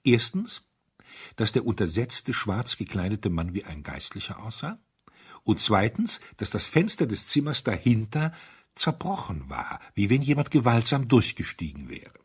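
Husky, expressive male narrator. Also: fairly low pitch, moderate pace. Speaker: audiobook narrator.

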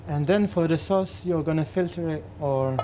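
Young guy hosting a cooking show, mellow voice, asks quick questions, faint background hiss. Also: on the high side. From Haiti. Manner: asks short, curious questions. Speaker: presenter. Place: large teaching kitchen studio.

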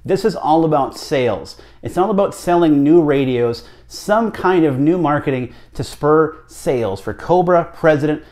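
A man's voice: a little high, darkish tone. A man in his thirties with an American accent giving conversational, emphatic commentary to camera, often posing rhetorical questions. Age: 30s. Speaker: radio hobbyist vlog host. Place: small home office, indoors.

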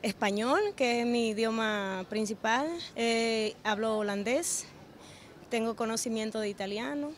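A woman's voice: a little high, measured pace, slight background noise. A woman answering a street interview outdoors, earnest, telling her story. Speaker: passerby.

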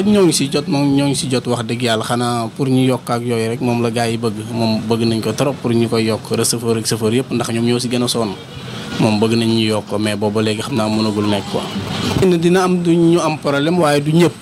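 A young man who works on the buses, explaining his job earnestly in a street interview inside a bus, speaking into a handheld reporter mic. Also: medium pitch. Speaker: bus worker. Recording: bus noise behind.

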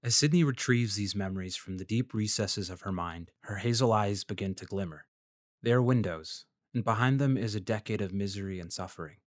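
An actor playing a polite, measured freelance journalist who narrates his investigation in a fiction podcast. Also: on the low side, no room sound, studio quality, close to an everyday voice. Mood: somber, observant. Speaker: narrator and lead character.

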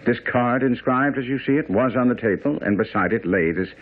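A man's voice: medium pitch, a little fast, husky, close to an everyday voice. A man acting as a self-assured master detective in a radio drama, precise, commanding and didactic. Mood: coolly correcting. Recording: muffled, noisy radio broadcast.

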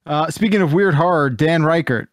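A man's voice: fairly high pitch, normal speed, no room sound, studio quality. A man hosting a podcast in casual, joking, sweary banter. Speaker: podcast host. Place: indoor home studio. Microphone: close microphone with headphones.

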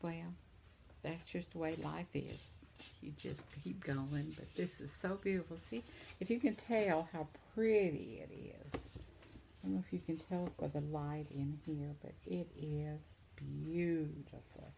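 A woman's voice: low voice, unhurried, vocal fry, warm tone, a little hiss or hum. An older woman, chatting at home to her viewers as she reads their comments aloud, sympathetic and reacting to each one. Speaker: vlogger. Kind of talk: casual chat reading viewer comments. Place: living room at home, seated in armchair.

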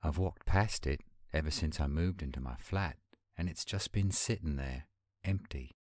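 A man acting an earnest young werewolf pack leader in a roleplay audio drama, speaking in a measured way. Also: rumbling low, darkish tone, no echo, studio quality. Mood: earnest, hopeful.

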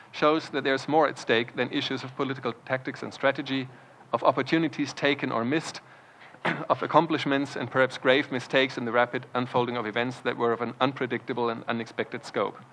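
A man reading an academic history paper aloud in a conference hall, formal, clearing his throat between phrases. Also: mid-range voice, steady tone, fairly quick, fairly bright tone, slight background noise.

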